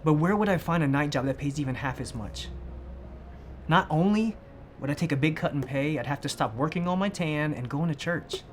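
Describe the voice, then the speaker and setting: A man's voice: on the high side, fairly quick, soft background music, natural voice. A man playing an anxious, sensible, conventional fiancé in a comedic short film, earnest and reasoning as he protests. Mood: exasperated pleading.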